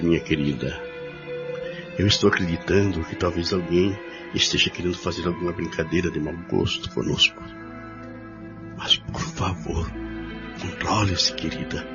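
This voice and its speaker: Deep-voiced actor as a calm, reassuring husband. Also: male voice, lightly acted. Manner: measured, reassuring. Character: calm, protective husband and pastor reassuring his frightened wife. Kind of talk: radio drama acting. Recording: dull recording under loud music.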